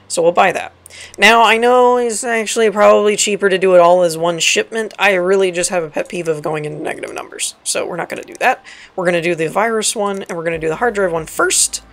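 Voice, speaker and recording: A woman with a raspy, dry voice giving casual let's play commentary, reading game text aloud and correcting herself as she goes. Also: mid-range voice. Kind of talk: casual gameplay tutorial commentary.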